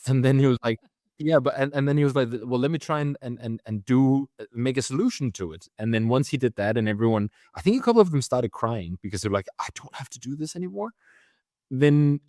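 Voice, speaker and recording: A man's voice: on the low side, a little mellow, no echo. Man, Danish accent, guest interview, trips over words, dry close sound. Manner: hesitant, many restarts. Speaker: guest, company founder. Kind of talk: conversational interview.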